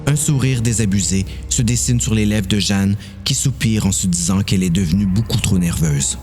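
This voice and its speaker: A man's voice: lowish voice, moderate pace, a little mellow, a little hiss or hum. A man with a Quebec French accent narrating a dramatised horror story.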